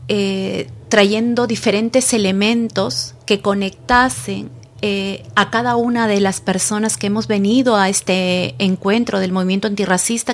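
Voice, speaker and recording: A woman speaking slowly and reflectively in a casual radio roundtable, with many pauses and hesitations. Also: mid-range voice. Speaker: roundtable participant passing turns.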